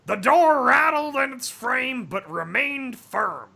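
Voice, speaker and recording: A man putting on a raspy, strained, grating comic narrator voice to read a story in a mock-dramatic way. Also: very high-pitched, big pitch swings, slow, sharp tone, exaggerated voice. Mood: strained, exaggerated. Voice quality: raspy, gravelly. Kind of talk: mock-dramatic reading of fan fiction.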